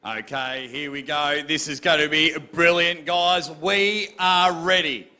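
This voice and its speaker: A man's voice: on the high side, steady tone, slow pace, pushed and bright. A male event host presenting with energy, rallying the audience before a match.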